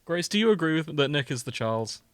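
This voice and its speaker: A man's voice: fairly high pitch, very animated, fast, fairly bright tone, dry recording. A man co-hosting a podcast, chatting casually about television in a teasing, sweary way. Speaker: podcast co-host. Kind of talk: casual banter about TV with friends.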